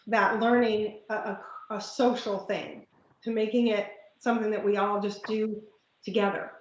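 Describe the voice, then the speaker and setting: A woman hosting an online discussion group, going hoarse and thinking aloud with many fillers and restarts. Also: on the high side, echoey room, lo-fi sound, a little hiss or hum. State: hoarse, losing her voice. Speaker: meeting facilitator.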